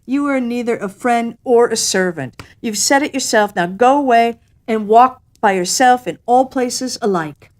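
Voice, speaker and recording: A woman's voice: a little high, measured pace, hardly any pauses, pitched above the performer's own voice, natural voice. A woman voicing a shrewd, self-assured cave woman in a storybook, speaking emphatically and firmly. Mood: firm, dismissive. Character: shrewd, firm cave woman bargaining with an animal.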